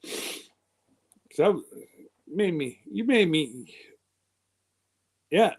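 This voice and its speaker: A man's voice: fairly high pitch, sing-song, very slow, darkish tone, breathy edge. A man in his fifties hosting a live stream, chatting casually in a loose, rambling way. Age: 50s.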